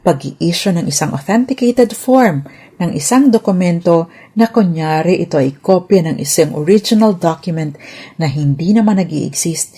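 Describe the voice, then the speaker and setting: Low, husky Filipino woman explaining formally. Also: expressive, moderate pace, dark tone. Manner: formal, enumerating, emphatic on key points. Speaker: legal explainer and host. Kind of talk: scripted legal explanation.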